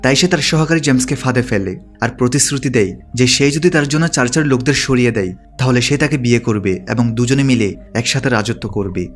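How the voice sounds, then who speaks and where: Guy doing movie recap narration, talks quick and steady, bit of music behind. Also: medium pitch. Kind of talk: scripted narration, plot recap.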